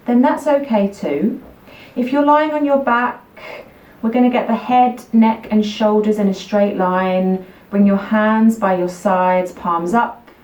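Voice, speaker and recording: A woman guides children through a relaxation meditation in a soothing, repetitive way. Her delivery is markedly slow, with gentle pauses between short phrases. The recording is echoey, with her voice a little away from the microphone. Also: on the high side. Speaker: yoga nidra teacher. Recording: roomy echo, distant microphone.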